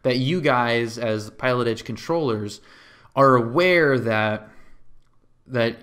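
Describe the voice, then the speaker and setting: A man's voice: mid-range voice, slow pace, fairly bright tone. A man hosting a podcast in a casual conversational interview style, often rephrasing his questions mid-sentence. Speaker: podcast host.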